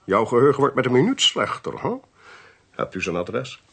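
A man acting a sharp, assertive detective in a radio play, clipped and commanding as he reasons. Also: mid-range voice, sing-song, normal speed, creaky, slightly rough, dry recording, heavily acted. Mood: brisk, inquiring. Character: sharp, assertive male detective reasoning through a murder case. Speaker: actor in a radio drama.

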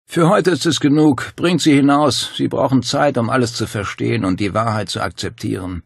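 A man voicing a calm, fervent cult master who speaks with smug, insinuating certainty in an audiobook. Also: medium pitch, normal speed, gravelly, breathy edge, no room sound, studio quality, pitched above the performer's own voice. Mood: commanding. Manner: insinuating, declamatory, unruffled. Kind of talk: dramatic audiobook dialogue.

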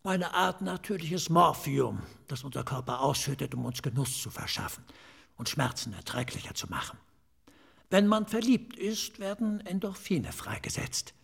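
Raspy, dryly clinical pathologist. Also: male voice, a little high, big pitch swings, moderate pace, fairly bright tone, heavily acted. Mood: lecturing, relishing. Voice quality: raspy, creaky. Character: talkative male forensic pathologist, dryly clinical, confiding, a little eccentric. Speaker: forensic pathologist. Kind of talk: German radio drama acting.

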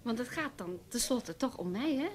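An actress playing a vain, ambitious young journalist who flatters and probes, in a radio drama. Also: female voice, on the high side, normal speed, close to an everyday voice. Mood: flattering, probing. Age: young adult. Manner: flattering, inquisitive. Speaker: actor.

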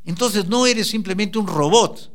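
A man's voice: sits high, measured pace, fairly bright tone. A man giving a motivational talk, emphatically urging the listener on and addressing them directly. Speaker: motivational speaker.

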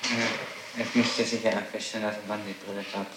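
A man's voice: fairly low pitch, flat delivery, moderate pace. A man working as an interrogator, putting questions in an official interview. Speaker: police interrogator. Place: echoey interrogation room. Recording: very noisy, echoey archival tape.

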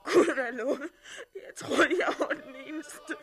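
A woman's voice: high-pitched, crowd noise, lightly acted. A woman acting in a 1960s radio drama as a frightened, shaken young captive, distressed and tearful.

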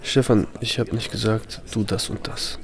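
An actor playing a hurt, insistent younger man in a naturalistic radio drama, speaking in a halting, repetitive, pleading way. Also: lowish voice, steady tone, creaky, a crowd in the background. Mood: accusing, agitated. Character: wounded, insistent younger man confronting an older man.

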